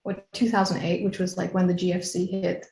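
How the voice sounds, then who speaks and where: A woman's voice: on the low side, level tone, a little fast, gravelly, darkish tone, reverberant. A woman from Kenya in her late thirties, a hypnotherapist chatting casually as an interview guest, laughing easily.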